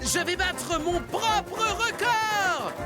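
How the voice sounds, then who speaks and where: A cocky young male cheetah racer from a cartoon, high-voiced and slow to deliver each line. He brags in exclamations, over loud music. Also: animated, full character voice. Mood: excited, boastful. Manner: bragging, exclamatory. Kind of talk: French cartoon dub.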